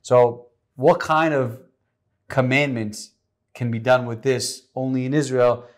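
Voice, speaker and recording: An enthusiastic man in his thirties hosts a relaxed wine tasting chat. He rambles, circles back and gushes about what he tastes. He is recorded on a lapel mic in a quiet studio. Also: mid-range voice, slow pace. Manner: enthusiastic, rambling with many likes. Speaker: host. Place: studio set with vineyard backdrop.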